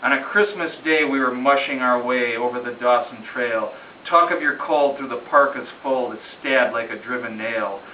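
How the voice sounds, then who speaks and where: A man's voice: medium pitch, normal speed. Man reciting a ballad, roomy and distant. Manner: storytelling, rhythmic, emphatic phrasing. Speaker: amateur poem reader. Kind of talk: dramatic recitation of a narrative ballad. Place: room in a home, at a gathering. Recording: roomy distant muffled home recording, faint noise.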